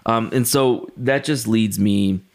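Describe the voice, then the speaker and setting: A man speaking as a pastor in a casual, halting and reflective devotional style, telling personal stories in a conversational way. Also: lowish voice.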